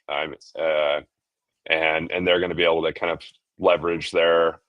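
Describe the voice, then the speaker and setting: A man co-hosting a podcast, talking in a relaxed, joking way and often pausing to restart his sentences. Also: deep voice, no room sound. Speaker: podcast co-host. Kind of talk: casual podcast chat. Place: recently moved to the southern US.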